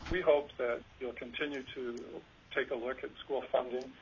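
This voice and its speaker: A soft-spoken man, a school superintendent, giving earnest testimony at a legislative hearing with frequent ums. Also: mid-range voice, steady tone, normal speed, a little hiss or hum. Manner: earnest, hesitant with fillers. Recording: lo-fi teleconference line.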